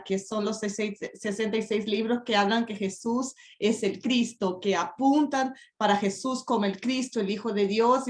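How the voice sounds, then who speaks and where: A fairly high-voiced woman with a Brazilian Portuguese accent delivers a sermon, speaking carefully in a language that is not her first and using frequent hesitant fillers. She builds toward emphatic rhetorical questions, and her voice rings out in a roomy, echoing church hall. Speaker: preacher.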